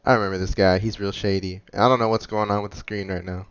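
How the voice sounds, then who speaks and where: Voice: low voice, little pitch movement, fast, highs cut off. A streamer giving casual, informal, teasing reactions while playing a video game. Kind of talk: casual gameplay commentary.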